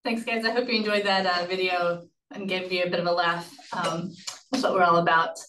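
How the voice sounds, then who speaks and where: A woman presenting a webinar in a relaxed, chatty way. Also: lowish voice, fairly quick, a little hiss or hum. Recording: echoey, distant mic.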